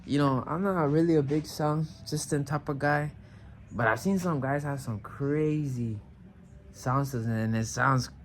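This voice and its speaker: A man's voice: mid-range voice, a little slow. A man chatting casually for a vlog in laid-back, slangy words, with plenty of "you know". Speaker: trucker vlogger. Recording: noisy recording around truck and radio.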